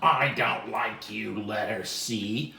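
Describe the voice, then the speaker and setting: A man's voice: fairly low pitch, slow pace, gravelly, bright, forceful tone, roomy sound, slight background noise, full character voice. A man voicing an angry, sulking, grumbling alphabet letter in a children's story. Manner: grumbling, insulting, self-pitying.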